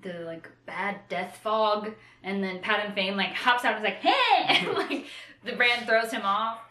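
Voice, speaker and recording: A woman co-hosting a casual book discussion, retelling in an animated way with playful, slangy asides. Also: medium pitch, unhurried. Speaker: co-host of a book discussion show. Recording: roomy echo.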